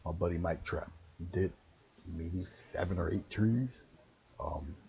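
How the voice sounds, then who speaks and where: A man with a very low voice giving a casual, rambling painting lesson on a livestream and greeting viewers by name. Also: takes it slowly, vocal fry, a crowd in the background. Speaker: painting instructor.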